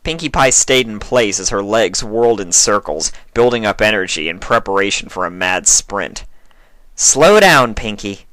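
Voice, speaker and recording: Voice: deep voice, moderate pace, hardly any pauses, fairly bright tone, dry recording, low-quality recording. A narrator reading a scripted fan fiction story, giving the characters their own voices.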